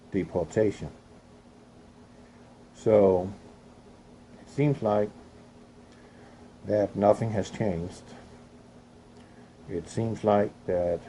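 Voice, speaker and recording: A man from Europe reading news aloud in a halting way, stopping to add his own opinionated comments. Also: deep voice, mellow, a little hiss or hum, poor audio. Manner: halting reading with restarts and coughs.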